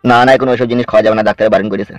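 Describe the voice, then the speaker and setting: A man's voice: on the low side, fairly even intonation, very fast, no echo, dull audio, faint music behind, lightly acted. Man voicing a wounded old village father. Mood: pleading. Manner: pleading, hushing, cautioning.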